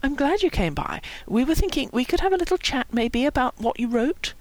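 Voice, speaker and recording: A woman voicing a gentle, tactful young man in a story, soft and tentative. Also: mid-range voice, sing-song, fast, vocal fry, dry recording, low-quality recording.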